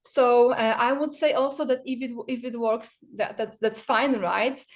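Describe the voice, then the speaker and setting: A woman's voice: fairly high pitch, fairly even intonation, a little slow. A woman from Poland answering on an online panel, speaking with many fillers and restarts. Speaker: PR association board member. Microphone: video call.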